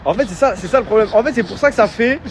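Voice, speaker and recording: A man's voice: sits high, very fast, a crowd in the background. A young man in a comedy sketch, defensive and indignant at being mocked. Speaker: friend being teased.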